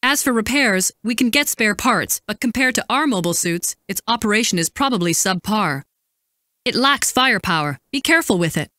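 An actress playing a composed, dutiful woman military supply officer in a video game, giving crisp, formal, commanding briefings and callouts. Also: mid-range voice, lively intonation, fairly quick, bright, forceful tone, no room sound, clean studio sound. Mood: grave, restrained.

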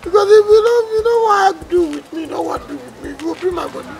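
Plaintive high-voiced Nigerian man acting a lovesick fool. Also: animated, brisk, music in the background. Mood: whining, worried. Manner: plaintive, hesitant. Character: lovesick, self-pitying, pleading man, broke and naive. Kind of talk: comedy drama acting in Nigerian Pidgin.

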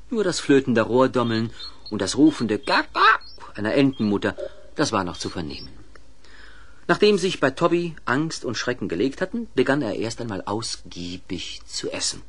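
A man's voice: lowish voice, fairly bright tone. A man narrating a children's adventure radio play in a scripted storybook style.

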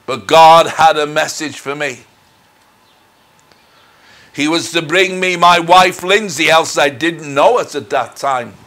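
A middle-aged man giving a personal testimony as a minister, speaking in an earnest, deliberate, measured way. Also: fairly high pitch, fairly bright tone. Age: middle-aged or older. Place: indoors in front of a dark curtain. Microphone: lapel mic.

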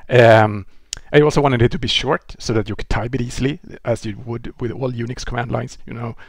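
A man in his forties with a Swedish accent, giving a relaxed technical lecture over a headset mic, often restarting and filling with "uh" and "you know". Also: medium pitch, fairly quick, creaky, no room sound, clean studio sound. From Sweden. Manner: relaxed explaining, with frequent restarts and fillers. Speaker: presenter. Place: indoor room.

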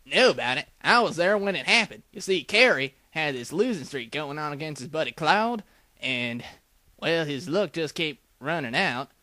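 Southern US barkeep, male actor, parody cartoon: folksy, earnest, sharp animated voice, very dry. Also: fairly high pitch, normal speed, no room sound. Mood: earnest gossip. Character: chatty small-town Southern male bartender. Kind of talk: parody cartoon dub acting.

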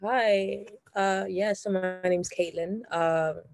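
A woman's voice: medium pitch, steady tone, slow pace, gravelly, a little mellow, no room sound, clean studio sound. A woman facilitating a community meeting over a video call, speaking with frequent hesitations. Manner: hesitant, many ums.

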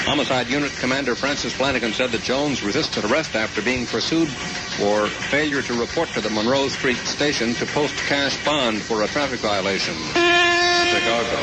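Guy reading formal 70s radio news, punchy, super noisy am recording. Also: medium pitch. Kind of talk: formal scripted 1970 radio newscast. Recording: very noisy 1970 AM radio aircheck.

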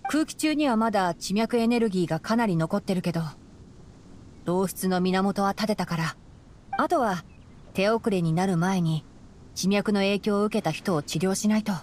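A woman voicing a calm young male fox-eared forest scholar in a Japanese anime game, explaining in a measured, boyish way. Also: mid-range voice, a little hiss or hum, lightly acted. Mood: composed, serious. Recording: music behind the voice.

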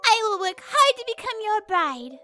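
A woman voicing a sweet, devoted, pouty teenage girl idol in a manga dub, with a cutesy expressive delivery. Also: extremely high pitch, normal speed, faint music behind, heavily acted. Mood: eager.

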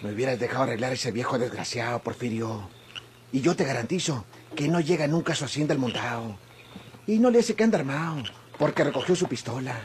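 An actor playing a boisterous, trigger-happy gunslinger in an old radio drama, taunting and folksy, with a rural Mexican accent. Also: male voice, medium pitch, fairly quick, a little hiss or hum, lightly acted. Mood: grumbling, eager. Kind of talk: Mexican golden-age radio drama acting.

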